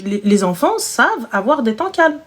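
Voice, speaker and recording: A woman's voice: a little high, big pitch swings, moderate pace, slightly rough. A single mother hosting a live stream, chatting casually and emphatically with slangy speech and repeated phrases.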